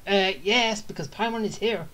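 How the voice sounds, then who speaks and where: A woman's voice: medium pitch, moderate pace, fairly bright tone, a little hiss or hum. A woman game streamer giving casual, offhand, joking reactions over gameplay.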